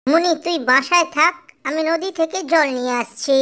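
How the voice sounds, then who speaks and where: A woman voicing a fretful, caring but shrewd mother songbird in an animated cartoon. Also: very high voice, normal speed. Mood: coaxing. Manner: fretful, expressive.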